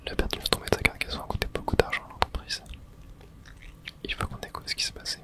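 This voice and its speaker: Someone whispering in a hushed, unvoiced way through an ASMR video game playthrough, reading dialogue aloud. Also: quick pace.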